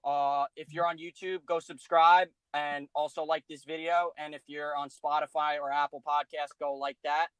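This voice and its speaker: A man's voice: a little high, little pitch movement, moderate pace, few pauses, a bit bright, clean tone, no room sound, poor audio. A teenage man hosting a casual podcast interview, upbeat with many "like"s. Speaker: podcast host.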